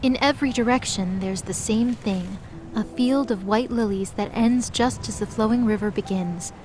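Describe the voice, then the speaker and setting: A woman's voice: fairly high pitch, moderate pace. A woman reading scripted narration for a mythological audio drama. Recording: clearly noisy background.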